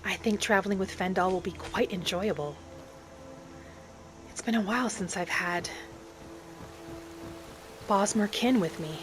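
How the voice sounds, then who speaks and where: A woman narrating a game roleplay in character as a gentle, hopeful young elf adventurer, softly musing aloud. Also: mid-range voice, unhurried, pauses often. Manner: soft, musing, speaking thoughts aloud. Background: music.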